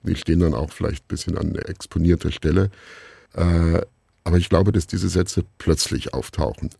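A middle-aged man giving reflective answers as an interview guest, musing and haltingly searching for words. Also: sits low, fairly even intonation, vocal fry, mellow, husky, no echo, studio quality. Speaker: interview guest, radio play maker.

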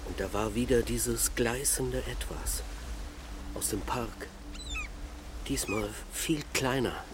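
An actor playing a restless, haunted middle-aged father in a naturalistic radio drama, speaking haltingly and reflectively in a hushed way. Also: medium pitch, noisy background, lightly acted. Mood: uneasy, confessing.

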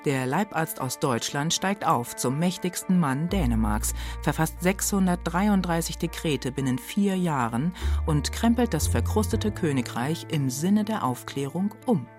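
Low-voiced woman presenting radio, music behind. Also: expressive, unhurried, darkish tone. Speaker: radio host. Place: radio studio.

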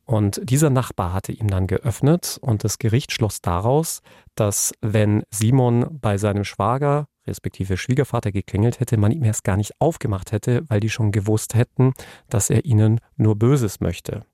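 A man's voice: on the low side, normal speed, dark tone, no room sound, studio quality. A man giving explanatory legal commentary in a podcast discussion.

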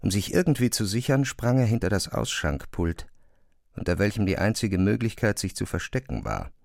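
Low, gravelly male narrator. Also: very animated, normal speed, a little mellow, no room sound, studio quality. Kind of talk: scripted literary narration.

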